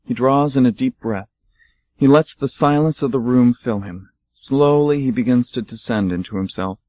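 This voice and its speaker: A man tells the story in a calm, unhurried way, his voice sitting at an ordinary middle pitch. The sound is dry and close, with no room in it. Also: no echo. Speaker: narrator. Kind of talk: scripted narration for an amateur radio drama.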